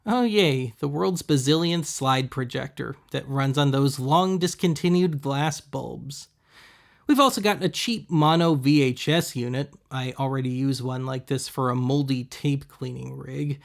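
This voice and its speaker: A man's voice: medium pitch, a little slow, vocal fry. A man hosting a thrift-shopping video, giving casual voice-over commentary in a wry, sarcastic manner.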